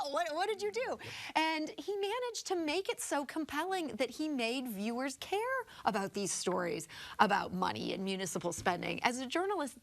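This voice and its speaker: A woman speaks as a journalist on a discussion panel. Her voice is a little high, and she talks conversationally, offering opinions and anecdotes.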